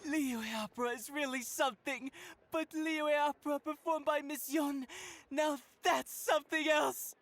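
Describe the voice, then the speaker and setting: A man playing an excitable, gushing opera fan in a video game, effusive and exclamatory. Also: extremely high pitch, measured pace, exaggerated voice. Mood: thrilled.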